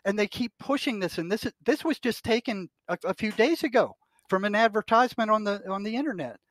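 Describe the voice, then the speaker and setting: Older man, high-pitched and bluntly indignant. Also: animated, brisk, a bit bright. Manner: indignant, blunt, emphatic, mild swearing. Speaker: retired psychotherapist, podcast guest. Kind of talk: opinionated discussion, quoting articles aloud.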